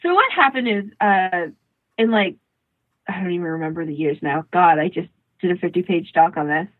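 A woman co-hosting a podcast in casual chat, often interrupting herself as she tells things in an animated way. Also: fairly low pitch, normal speed, no echo, phone-quality sound. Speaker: podcast co-host.

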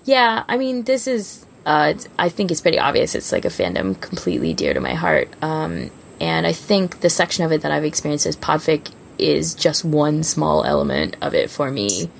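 A woman's voice: low-pitched, huge pitch swings, moderate pace, vocal fry, hardly any pauses, a little hiss or hum. A woman co-hosting a podcast, chatting casually and informally with gushing fan enthusiasm. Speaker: podcast co-host.

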